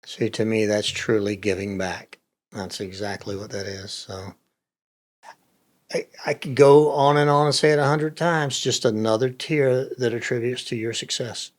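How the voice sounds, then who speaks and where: A man's voice: medium pitch, normal speed. A man hosting a podcast interview in a conversational, affirming and emphatic way.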